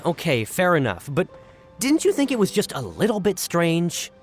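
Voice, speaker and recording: A pushy, indignant video game sidekick. The pitch swings wildly with exasperation, and music plays softly underneath. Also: female voice, wildly swooping pitch, moderate pace.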